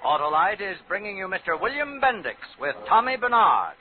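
High, dramatic male radio announcer. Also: sing-song, a little fast, phone-quality sound. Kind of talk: 1950s dramatic sponsor announcement. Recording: band-limited old radio, music behind.

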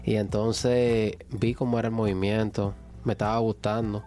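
Young man, raspy voice. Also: fairly low pitch, level tone, normal speed, dark tone, faint music behind. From Puerto Rico. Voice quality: hoarse, raspy voice. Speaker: guest singer and radio announcer.

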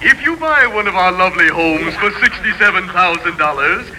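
A man's voice: high-pitched, animated, normal speed, over loud music. An actor playing a home salesman in a 1940s radio comedy. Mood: salesmanlike. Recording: noisy, clicky old radio recording.

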